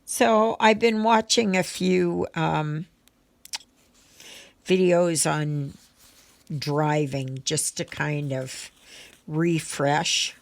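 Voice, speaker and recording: Low, slow-talking woman with creaky voice. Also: fairly even intonation, no room sound. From Canada. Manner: unhurried, halting, thinking aloud. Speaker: craft vlogger. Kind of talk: casual rambling chat while stitching.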